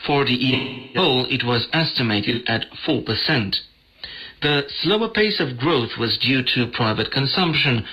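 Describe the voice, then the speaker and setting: Male news magazine host, crisp bright edge, scripted delivery, shortwave radio broadcast. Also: mid-range voice, rough recording.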